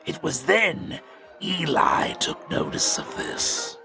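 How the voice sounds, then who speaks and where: A man playing a sly, folksy Old West storyteller with a gravelly voice and American accent, narrating a western audio drama theatrically. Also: on the high side, wildly swooping pitch, slow, full character voice. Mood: ominous storytelling. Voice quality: gravelly, creaky. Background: music.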